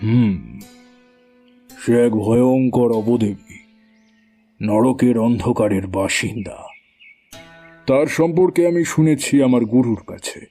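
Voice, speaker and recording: Male actor as middle-aged village occultist, humble yet ominous, dark mellow tone, unhurried, music underneath, horror audio drama. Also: medium pitch. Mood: grave, ominous.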